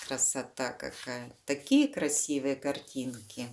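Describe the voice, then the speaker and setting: A woman's voice: a bass voice, unhurried, darkish tone, a little hiss or hum. A woman reading a children's storybook aloud, explaining the pictures simply to young listeners. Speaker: children's book reader.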